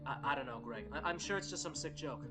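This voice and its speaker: An actor playing a loyal, skeptical and slightly cocky radio producer in a radio play, sardonic and prone to stammering. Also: male voice, medium pitch, animated, fast, over music, lightly acted. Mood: rattled.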